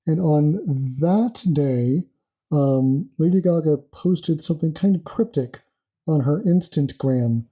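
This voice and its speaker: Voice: medium pitch, takes it slowly. A podcast co-host chatting casually and eagerly, with frequent ums while recapping news. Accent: not Australian. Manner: excitable, many um fillers. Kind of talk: casual chat.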